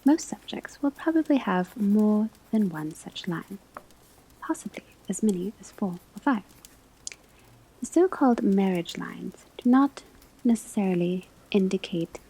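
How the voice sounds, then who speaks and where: A woman whispering in a breathy, husky voice, delivering a scripted ASMR classroom lecture as a teacher, with deliberate, measured phrasing. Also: medium pitch, dark tone, rough recording. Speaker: ASMR performer playing a divination class teacher.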